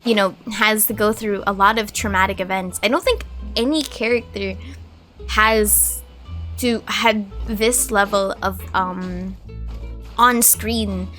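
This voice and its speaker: A woman's voice: medium pitch, fairly even intonation, slow pace, bright, forceful tone, soft background music. A woman giving casual gameplay commentary as a streamer, chatty, reflective and jokey. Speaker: gaming streamer.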